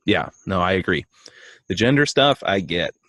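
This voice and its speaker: Low-voiced man, casual rambling banter. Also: sing-song, normal speed, fairly bright tone, no echo. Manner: rambling, joking, lots of fillers. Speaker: podcast co-host. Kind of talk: casual chat.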